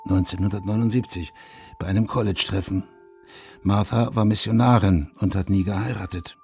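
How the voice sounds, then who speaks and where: Low, creaky haunted veteran storyteller. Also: male voice, fairly even intonation, normal speed, darkish tone. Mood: reflective, haunted. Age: middle-aged. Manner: intimate, reflective storytelling. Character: reflective Vietnam veteran turned writer, haunted, in his forties. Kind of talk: German radio drama first-person narration. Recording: studio radio drama over guitar music.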